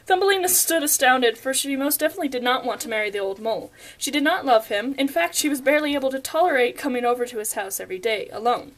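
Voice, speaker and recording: A woman's voice: high-pitched, expressive, fast, few pauses. A young woman narrating a scripted fairy tale as storyteller, stumbling over words now and then as she reads.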